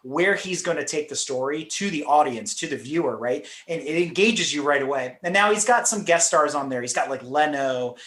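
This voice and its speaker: A podcast host chatting about cars in a slightly raised voice, lively and quick to laugh. His voice rings with a roomy echo. He tells stories with plenty of enthusiasm. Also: a little high. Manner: animated storyteller, laughs often. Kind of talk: casual chat.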